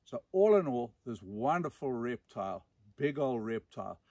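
An older man in his sixties with a Zimbabwean accent is telling a story outdoors. He speaks emphatically, leaning on dramatic pauses and repeated words. The sound is dry, with a middle-pitched voice. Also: very animated, a little mellow, dry recording. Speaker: storyteller and host. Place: outdoors in dense bush.

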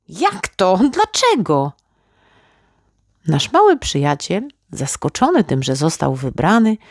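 A woman reading a children's fairy tale as an expressive narrator. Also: deep voice, dry recording, clean studio sound. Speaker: children's story reader.

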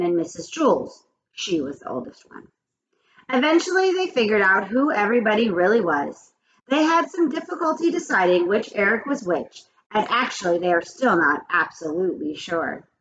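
Teacher lady in her 40s reading a kids book to the webcam, super lively voice, lo-fi sound. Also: medium pitch, normal speed. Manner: expressive, animated storytelling. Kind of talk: children's read-aloud. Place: small home office, indoors. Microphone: webcam at desk. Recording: dull lo-fi webcam audio.

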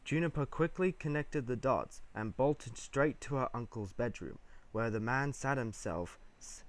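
A man narrates a scripted story. His voice sits at a medium pitch, and he reads as the storyteller between the characters' lines. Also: measured pace.

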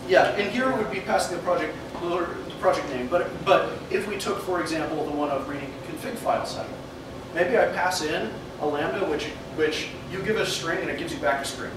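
A young man giving a meetup talk at a whiteboard, animated and laughing often as he thinks aloud and takes questions. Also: on the high side, a little fast. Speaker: meetup speaker. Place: meeting room with an audience. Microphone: far camera mic. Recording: echoey room from far off, noisy.

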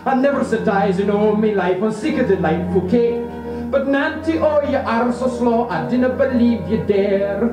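An actor playing a bratty, taunting young brother with a Scottish accent in a comic musical revue. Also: male voice, high-pitched, lively intonation, normal speed, music in the background. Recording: echoey, distant 1950s 78 rpm recording.

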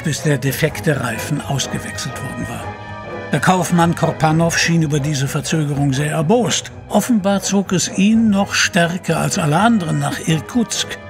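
A man's voice: fairly high pitch, close to an everyday voice. An actor playing the male narrator of an adventure audio drama.

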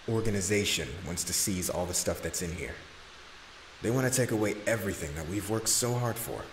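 A man acting a loyal, low-voiced male employee, roomy echo. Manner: earnest, respectful. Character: loyal, deferential, anxious male right-hand employee. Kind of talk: audio roleplay acting. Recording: roomy echo, faint background noise.

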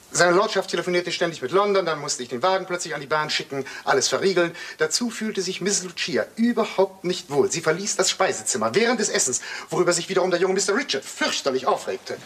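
An actor playing a formal, discreet, deferential butler in a German crime radio play. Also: male voice, sits high, animated, quick pace, a bit bright, slightly rough, exaggerated voice. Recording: old radio play with faint hiss.

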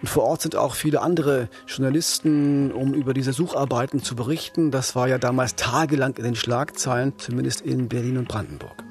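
A man hosting a crime podcast as a reporter, explaining a case conversationally with measured emphasis. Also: mid-range voice, steady tone. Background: background music.

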